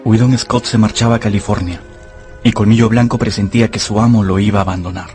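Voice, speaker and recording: Low-pitched male dramatic narrator. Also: fairly even intonation, fast talker, darkish tone, soft background music. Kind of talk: dramatised audiobook narration for radio.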